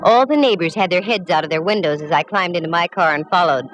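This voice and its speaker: A woman's voice: on the low side, quick pace, close to an everyday voice, poor audio. A woman playing a wisecracking private detective, narrating in wry hardboiled style in a 1940s radio drama. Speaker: lead actress and narrator. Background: music.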